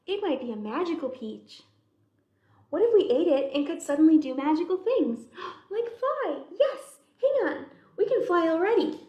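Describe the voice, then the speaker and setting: A woman voicing excitable, childlike little bugs from a picture book, exclaiming dramatically. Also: very high-pitched, moderate pace, pitched above the performer's own voice. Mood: excited. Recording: roomy, echoey indoor sound.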